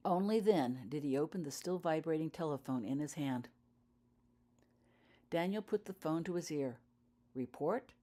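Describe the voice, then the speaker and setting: Low-voiced woman narrating an audiobook. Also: animated, normal speed, long pauses, warm tone. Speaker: author reading own fan fiction.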